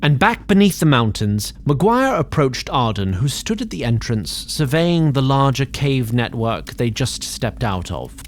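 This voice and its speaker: A man's voice: mid-range voice, big pitch swings, unhurried, hardly any pauses, slight background noise. A man narrating a scripted fantasy audio drama in a formal, literary, wry manner.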